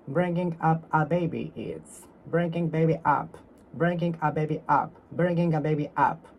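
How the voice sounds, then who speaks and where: A speaker from Japan with a Japanese accent, practicing English alone, repeating and reworking each sentence in a careful, halting way. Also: mid-range voice, dull audio, a little hiss or hum. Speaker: English learner. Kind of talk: solo speaking practice, talking to oneself.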